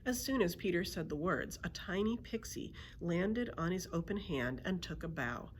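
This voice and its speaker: A woman narrating a children's book aloud as a storyteller, in an expressive read-aloud style. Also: lowish voice, normal speed, slight background noise. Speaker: librarian storyteller.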